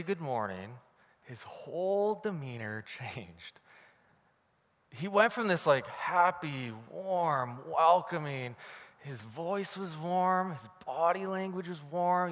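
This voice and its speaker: Man, from Canada, pastor, sermon, animated storytelling, slightly high voice, long hall reverb.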